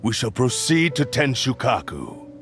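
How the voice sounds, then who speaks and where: A man's voice: mid-range voice, big pitch swings, measured pace, a bit bright. A man voicing the proud, obsessive spirit of a cursed blade in a video game, grave and imperious, speaking in archaic, formal phrases. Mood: cold resolve. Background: game music.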